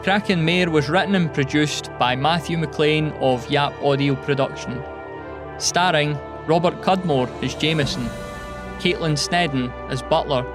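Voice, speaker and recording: A man reading out the credits of the production in a plain, even way. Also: a little high. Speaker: podcast announcer. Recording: music bed under voice.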